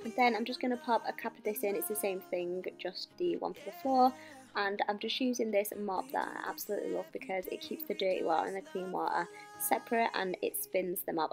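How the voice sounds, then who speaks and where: A woman's voice: medium pitch, creaky. A young adult woman with a British accent gives a casual, chatty voice-over about household chores. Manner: chatty, informal. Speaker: home cleaning vlogger. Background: background music.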